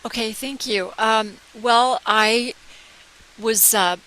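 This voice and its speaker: A woman's voice: on the high side, slow pace, bright, forceful tone, rough recording. An older woman with an American accent, reminiscing in a casual, rambling storytelling way as an interview guest. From United States, military family abroad. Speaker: interview guest, organization founder. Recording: clear hiss behind the voice.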